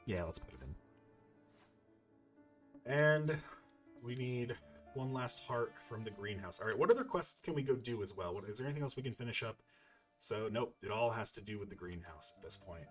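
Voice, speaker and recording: A man's voice: on the low side, animated, moderate pace, long pauses. A man giving casual gameplay commentary, musing aloud to himself as he plays. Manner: musing, talking to himself while playing. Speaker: gamer, let's player. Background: video game music.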